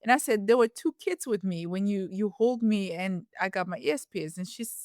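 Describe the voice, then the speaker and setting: A woman guest on a podcast, talking reflectively with plenty of pauses, fillers and restarts. Her voice is smooth and clear, recorded close and dry with no room sound. She sits in the middle of the usual pitch range for a woman. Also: a little mellow, dry recording. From Ethiopia, raised in the Netherlands. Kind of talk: casual interview conversation.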